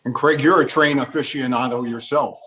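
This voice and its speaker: A man's voice: mid-range voice, fairly even intonation, brisk, a bit bright. Male moderator, middle-register voice, courteous, feeding questions to a panel of guests. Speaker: interview host.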